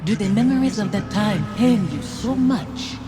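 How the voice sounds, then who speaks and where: A woman's voice: medium pitch, lively intonation, moderate pace, close to an everyday voice. A woman as a bitter phantom wife over music. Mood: taunting, cold. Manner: taunting, solemn, reproachful. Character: bitter accusing nightmare phantom of a dead wife and mother. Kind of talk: dramatic video game acting. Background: loud music.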